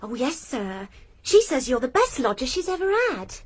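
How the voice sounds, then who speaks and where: A woman's voice: high voice, big pitch swings, moderate pace. An actress playing a polite, innocent young girl with a respectful, eager manner.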